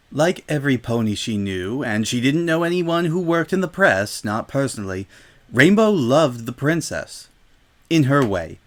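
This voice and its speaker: A man narrating a story as a dramatic reading, in scripted prose. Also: medium pitch.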